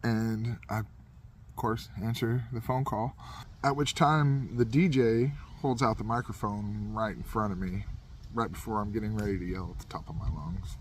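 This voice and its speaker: A man's voice: lowish voice, moderate pace, slight background noise. A man casually telling a comedic anecdote in a rambling, self-deprecating way, as a podcast host would.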